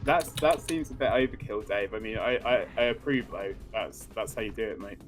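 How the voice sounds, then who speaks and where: A man's voice: fairly low pitch, a little fast, faint music behind. A man co-hosting a gaming video in casual banter, joking dryly and swearing now and then. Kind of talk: casual banter over gameplay.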